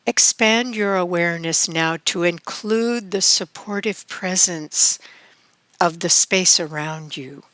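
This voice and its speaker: Female meditation guide: calm, extremely unhurried delivery with long pauses, smooth clear tone, close and dry sound. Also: lowish voice, no room sound. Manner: calm, measured, with long pauses between phrases.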